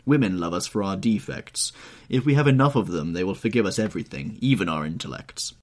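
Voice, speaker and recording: An urbane, cynical gentleman, acted by a male audiobook reader. He delivers polished witticisms suavely, with animated intonation. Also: low voice, a little fast. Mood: epigrammatic. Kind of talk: audiobook character voice.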